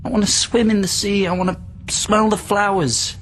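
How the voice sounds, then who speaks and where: An actor playing a desperate confined patient from the past in a radio drama, speaking in an emotional, halting, plaintive way. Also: male voice, high-pitched, steady tone, fairly bright tone, a little hiss or hum. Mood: pleading. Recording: radio drama with music underneath.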